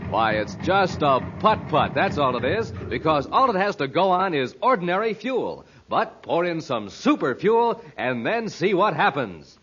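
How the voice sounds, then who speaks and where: A man's voice: on the high side, big pitch swings, measured pace, few pauses, a bit bright, a little hiss or hum. A man announcing in punchy, emphatic, folksy 1950s radio style. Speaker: announcer and narrator. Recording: dull old radio recording.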